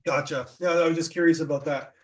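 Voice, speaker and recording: A man's voice: a little high, fairly even intonation, quick pace. A man hosting a podcast, asking rambling questions full of fillers in a casual interview. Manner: rambling, filler-heavy questions with many likes and rights. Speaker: podcast host.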